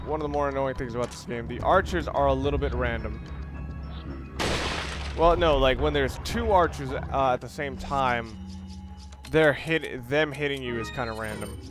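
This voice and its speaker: A man giving casual, rambling walkthrough commentary on a video game, correcting himself and explaining tactics as he plays. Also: mid-range voice. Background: video game music and sound.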